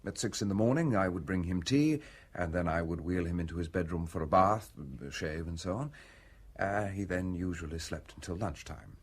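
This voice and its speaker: A man playing a discreet, self-serving valet in a radio drama, speaking with formal, measured and guarded politeness. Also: sits low, husky. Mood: deferential. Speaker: actor in a radio play. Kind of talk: British radio drama dialogue.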